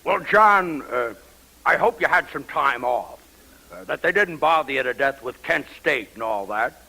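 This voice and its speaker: A man's voice: a little high, expressive, measured pace, creaky, bright, forceful tone, slightly rough, heavily acted, rough recording. An actor playing an angry male president who swears and blusters over lunch, in a radio drama. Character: profane, angry male president in the Oval Office. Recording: radio play recording.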